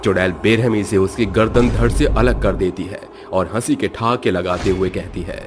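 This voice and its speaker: A man's voice: on the low side, fairly even intonation, moderate pace, loud music behind the voice. A man narrating a cartoon horror story in a dramatic storytelling manner.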